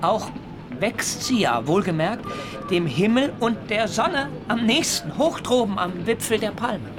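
Radio drama: young German idealist, zealous and rhetorical, very lively intonation, music underneath. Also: male voice, sits high, measured pace. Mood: earnest, eager. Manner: eloquent, ornate, rhetorical. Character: earnest, zealous young German vegetarian and coconut prophet. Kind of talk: radio play acting.

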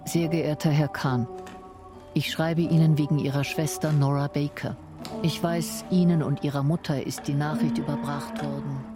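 An actress playing a composed, self-questioning wartime intelligence officer in a radio drama, measured and reflective. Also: female voice, low-pitched, steady tone, music in the background, natural voice. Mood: formal, grave.

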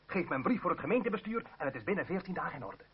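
A cautious, loyal companion, with a very husky voice. He sounds placating and wry, his pitch moving freely. The old radio recording is dull. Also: big pitch swings, very fast, heavily acted. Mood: concerned. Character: cautious, loyal political companion of two hotheads. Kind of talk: Dutch radio play acting.

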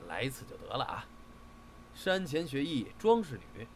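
A man plays a brusque, exasperated male straight man in a crosstalk audio drama. His voice sits at a middling pitch and moves around a lot, theatrical and scolding. A little noise lies behind it. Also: sing-song, moderate pace. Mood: stern scolding. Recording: faint background noise.

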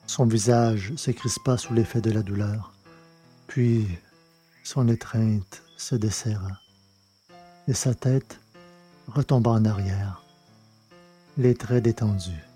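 A man reading a novel aloud in measured, deliberate narration. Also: on the low side, fairly even intonation, warm tone, soft background music. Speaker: audiobook reader. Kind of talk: scripted narration of a science-fiction novel.

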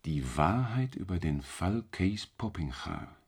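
An actor playing a jovial, patronising middle-aged asylum doctor in a radio drama, with a hearty manner. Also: male voice, low voice, big pitch swings, takes it slowly, darkish tone, breathy edge, exaggerated voice. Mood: patronising, inquiring.

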